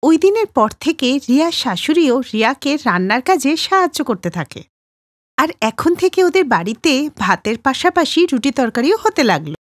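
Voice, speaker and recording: A woman narrator reading a scripted children's story in an expressive way. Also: sits high, moderate pace, no echo, clean studio sound.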